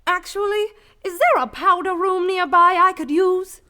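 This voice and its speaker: A woman voicing a very high, bright prim wife. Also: moderate pace. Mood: prim chatter. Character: prim, tactless young wife of a reporter. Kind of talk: comedic amateur radio play.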